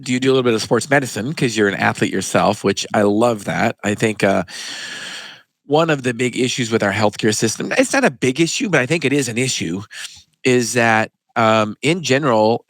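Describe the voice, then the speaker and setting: A male pharmacist hosts a casual health chat in a mid-range voice. He tells stories with animated emphasis. The recording is dry and close. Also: mid-range voice, moderate pace, dry recording. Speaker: pharmacist and podcast host.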